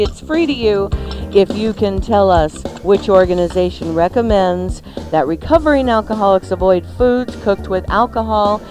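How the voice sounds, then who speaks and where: A woman co-hosting a radio show, playful and chatty, mixing casual banter with live ad reads. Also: medium pitch. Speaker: radio cooking show co-host.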